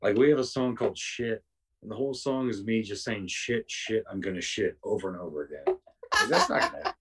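Young guy w raspy voice rambling on a podcast, lowish voice, sounds a bit off mic. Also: huge pitch swings, brisk, a bit bright, recorded from a distance. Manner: rambling, many fillers and restarts. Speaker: podcast guest. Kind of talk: casual chat.

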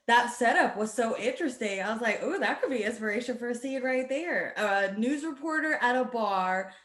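Higher-voiced woman in an echoey room. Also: animated, normal speed, fairly bright tone. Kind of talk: casual chat. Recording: roomy, echoing sound.

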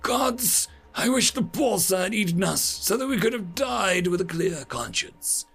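Man voicing a tragic tentacled alien, lecturing, high, swinging wildly, storybook. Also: lively intonation, unhurried, creaky, a bit bright, breathy edge, soft background music, exaggerated voice. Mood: anguished. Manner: anguished, lecturing. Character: distraught tentacled male alien, preachy and tragic.

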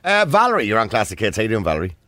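A man with an Irish accent hosting a phone-in radio talk show, joking with callers and cutting in quickly. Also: fairly low pitch, huge pitch swings, bright, forceful tone, no echo. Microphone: studio microphone.

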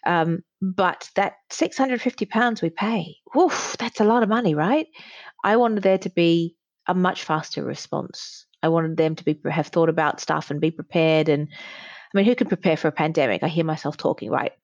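A woman's voice: lowish voice, normal speed, dry recording. A middle-aged woman in casual conversation, giving candid, reflective opinions, on slightly dull lo-fi audio. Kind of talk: casual chat.

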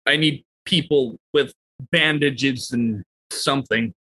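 A man's voice: medium pitch, takes it slowly, sharp tone. A man casually improvising as a player in a tabletop roleplaying game.

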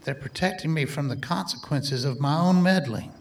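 A man's voice: on the high side, moderate pace, gravelly. An actor playing an earnest, guilt-ridden older male scientist who speaks in formal, literary phrases in a radio play. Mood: bitter.

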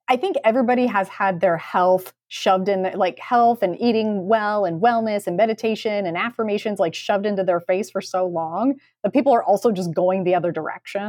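A woman's voice: mid-range voice, darkish tone, dry recording, studio quality. A woman co-hosting a podcast in casual comedic chat, talking in a sarcastic, emphatic way. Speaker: podcast co-host.

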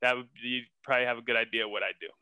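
Young male guest, bright and crisp, casual slangy chatter, enthusiastic. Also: mid-range voice, fairly even intonation, rapid-fire, dry recording, poor audio. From western Pennsylvania. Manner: laid-back, slangy, enthusiastic, says man a lot. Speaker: guest, senior art director. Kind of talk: casual interview chat.

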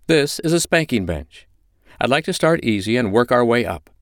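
A man's voice: medium pitch, big pitch swings, moderate pace, no room sound, clean studio sound, pitched above the performer's own voice, close to an everyday voice. A man voicing a firm, commanding but reassuring mistress in an audiobook story. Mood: firm, instructing. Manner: firm, instructing, soothing. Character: commanding, reassuring adult woman, a dominatrix mistress.

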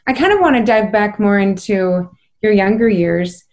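A woman's voice: mid-range voice, animated, normal speed, darkish tone. A woman co-hosting a podcast, asking reflective questions with casual fillers. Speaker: podcast co-host. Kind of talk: interview questions.

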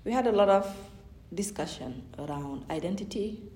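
A woman's voice: medium pitch, expressive, normal speed, warm tone, a little hiss or hum. A woman from Senegal, an academic guest giving thoughtful answers and often restarting sentences. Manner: thoughtful, restarts sentences, lots of "you know". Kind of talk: interview answers. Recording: echoey room.

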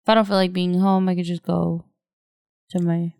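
A woman's voice: on the low side, flat delivery, normal speed, gravelly, warm tone, smooth, dry recording, clean studio sound. A woman chatting casually as a podcast co-host, curious and asking lots of questions. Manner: curious, asks many questions. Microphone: headphones and mic.

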